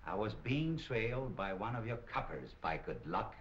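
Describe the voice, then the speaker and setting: Nightclub suspect, cocky and evasive, animated lower voice, noisy vintage film sound. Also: male voice, moderate pace, lo-fi sound. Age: middle-aged. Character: cocky, slippery middle-aged nightclub operator under questioning. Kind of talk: 1940s crime film acting.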